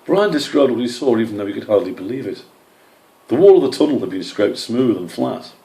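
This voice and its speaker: A man's voice: mid-range voice, big pitch swings, brisk, gravelly, dark tone, roomy sound, low-quality recording. A man reading a scripted children's animal story as its narrator. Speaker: author or story reader.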